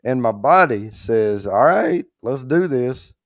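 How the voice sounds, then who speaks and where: A man with a rough, hoarse, worn-out voice, hosting a livestream in rambling, folksy casual chat while trying not to get loud. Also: mid-range voice, expressive, slow pace, no echo, clean studio sound. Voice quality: rough, strained voice. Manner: rambling, folksy, holding back volume. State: hoarse, worn out after allergy attack. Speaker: host of a whiskey giveaway livestream.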